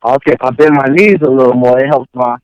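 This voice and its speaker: Low-voiced Chicago man with Southern tinge, muffled audio. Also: fast talker, clean tone. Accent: Chicago with slight Southern tinge. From Chicago, later Mississippi. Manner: conversational, frequent "you know what I'm saying". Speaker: rapper being interviewed. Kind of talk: casual interview chat.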